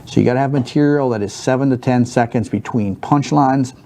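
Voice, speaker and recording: A man in his thirties in a studio, teaching stand-up comedy basics in a monotone, rambling way with many fillers and restarts. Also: mid-range voice, a little fast. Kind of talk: unscripted talk to camera. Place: studio set with a wooden backdrop, seated at a desk.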